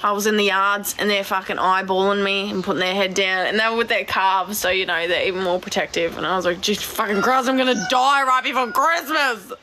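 A blunt Australian woman in her twenties with a naturally deep voice, chatting casually on a live stream from her phone and swearing freely. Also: fairly even intonation, fairly quick, few pauses, pushed and bright, a little hiss or hum. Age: 20s. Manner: blunt, swears a lot, laughs easily. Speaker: cattle farmer vlogger. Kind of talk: casual live stream chat answering comments. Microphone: phone at arm's length.

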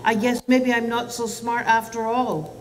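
Slow-talking Scottish woman lecturing in a hall. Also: a little high, slight background noise. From Scotland. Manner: animated, gestures with both hands, asks the audience questions. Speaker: physician giving a public talk on children's anxiety. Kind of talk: public lecture to parents. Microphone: lectern microphone. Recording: roomy hall sound from a distance.

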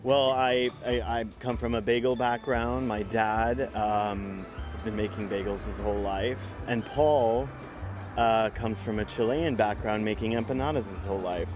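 A man in his thirties, a bakery co-owner, answering in a live TV interview outdoors in a relaxed, slightly halting way. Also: fairly low pitch, music in the background. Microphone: reporter's handheld mic.

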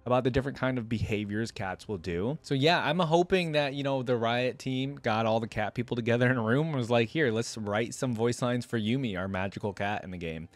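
A man's voice: mid-range voice, no room sound. A young adult man giving casual, chatty, enthusiastic commentary as a reaction host, rambling a little into a desk microphone. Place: small indoor room at a gaming desk. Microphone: desk microphone up close.

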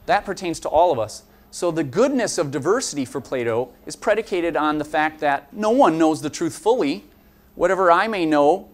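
A young man, a college instructor, giving an earnest, explanatory lecture to students and gesturing as he talks. He is picked up by a camera from across a conference room. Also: fairly high pitch.

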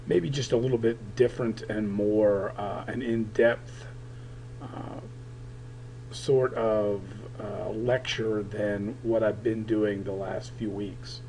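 A man records a lecture over his slides, his voice raspy and gravelly while it recovers. The tone is dark and mellow, and he talks in a halting way with many fillers. A faint hum sits behind the computer mic. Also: on the low side, fairly even intonation, low-quality recording. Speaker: political science teacher.

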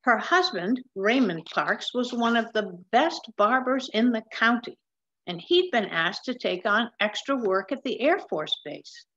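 An older woman reading a picture book aloud in a measured, explanatory manner, recorded on a webcam at home. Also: a little high, lively intonation, a bit bright. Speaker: storybook reader. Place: large wood-ceilinged room at home. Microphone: webcam or laptop mic at desk distance. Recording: slightly dull webcam sound.

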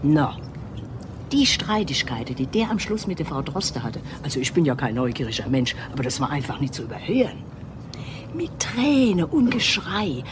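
A nosy housekeeper in a crime radio play. Her low voice swoops expressively as she confides her indignant gossip, often half whispered, over a clearly noisy recording. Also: sing-song, moderate pace. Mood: confiding.

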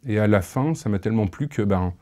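A man in his thirties speaking reflectively in an interview, halting and thoughtful, on a lapel mic. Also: fairly low pitch, lively intonation, heavy background noise. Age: 30s. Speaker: interviewee, audio fiction creator.

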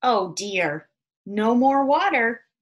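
An excitable little storybook rabbit, played by a woman reading aloud. The voice jumps high and swoops around, full of exaggerated, curious wonder for small children. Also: takes it slowly, a little hiss or hum. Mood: excited wonder.

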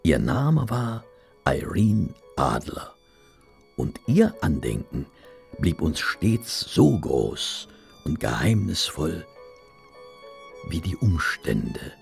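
An actor playing a courteous, reverent gentleman who narrates a detective friend's cases in an audio drama, formal and measured, with dramatic pauses and a gravelly voice. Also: very deep voice, sing-song, faint music behind, full character voice. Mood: hushed, reverent.

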